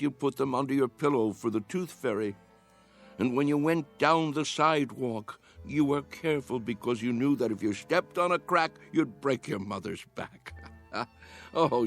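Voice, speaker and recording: A man's voice: mid-range voice, moderate pace, faint music behind, close to an everyday voice. An actor as a wistful, dreamy old man. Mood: fond, nostalgic. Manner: earnest, musing, insistent. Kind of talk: radio drama acting.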